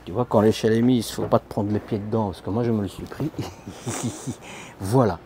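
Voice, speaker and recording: A man's voice: on the low side, fairly even intonation, moderate pace. A man giving a chatty, informal walkthrough of camper vans at a trade show, filmed on a handheld phone. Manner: chatty, informal, addresses viewer as tu, many fillers. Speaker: camper van vlogger. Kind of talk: casual walkthrough commentary. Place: large indoor trade show hall, inside vans.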